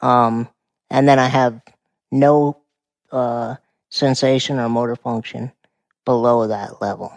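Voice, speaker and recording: A man in his forties from Montana with a quiet voice, calmly explaining things as a podcast guest. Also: medium pitch, takes it slowly, gravelly, long pauses, no echo. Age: 40s. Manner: calm, explanatory. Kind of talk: casual conversation.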